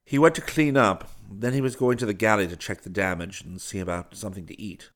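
Man playing a low-voiced, reserved gentleman inventor. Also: natural voice. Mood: matter-of-fact. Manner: formal, measured, understated. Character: reserved, formal gentleman inventor, dryly humorous and modest. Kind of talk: audiobook character dialogue.

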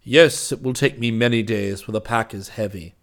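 A man's voice: on the low side, moderate pace, fairly bright tone, lightly acted. A man voicing a merry, eager old toy maker in a hearty, cheerful way for a storybook reading.

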